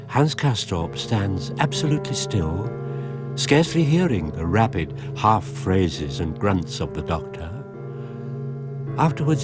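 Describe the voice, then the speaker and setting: A man reading dramatic scripted narration as a grave, measured literary narrator. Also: lowish voice. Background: music.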